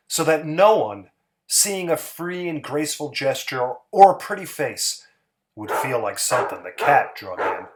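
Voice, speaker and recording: A man's voice: on the high side, very noisy. A man giving a dramatic, theatrical reading of a literary short story. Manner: expressive, theatrical. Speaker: story reader.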